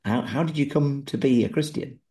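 A man hosting an interview podcast, asking courteous, gently probing questions of his guest. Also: medium pitch, a little fast. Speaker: podcast host. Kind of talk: conversational interview.

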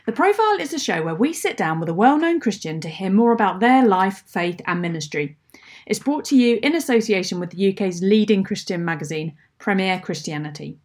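Lady radio host, reads the intro smooth and steady, mid pitch. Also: fairly quick. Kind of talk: scripted radio introduction and interview.

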